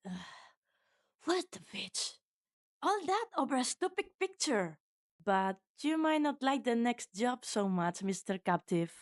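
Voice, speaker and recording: A woman's voice: on the high side, big pitch swings, measured pace, dry recording, clean studio sound. A woman playing a brash, cocky, menacing young female mercenary in an amateur game fan dub, with a sardonic, teasing manner. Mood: indignant, irritated. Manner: sardonic, foul-mouthed, teasing.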